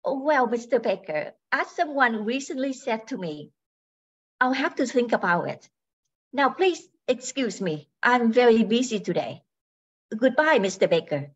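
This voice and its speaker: A woman acts out a plucky young woman looking for work in a 1940s-style radio play. Her voice is high and husky with lively swings, earnest and formal, on a slightly dull recording. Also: measured pace. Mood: proud, cool. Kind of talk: 1940s radio drama acting.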